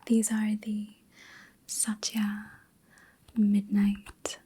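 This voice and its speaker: A woman hosting an ASMR reiki session in a soft, breathy whisper, gentle and soothing, with unhurried pauses. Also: mid-range voice, monotone. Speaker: ASMR host and reiki practitioner.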